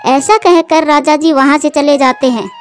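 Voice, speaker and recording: High-pitched female story narrator. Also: steady tone, moderate pace. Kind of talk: scripted children's story narration. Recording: music behind the voice.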